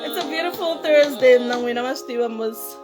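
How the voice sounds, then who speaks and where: High-voiced chatty woman. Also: fairly quick, music in the background. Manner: chatty, playful, laughs often. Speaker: host of a live online talk show. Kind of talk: casual live-stream chat.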